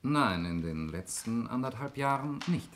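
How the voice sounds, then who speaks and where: An actor playing a cool, logical law clerk under suspicion in a 1960s German radio play. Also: male voice, medium pitch, lively intonation, measured pace, fairly bright tone. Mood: guarded. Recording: 1960s radio recording, hiss and clicks.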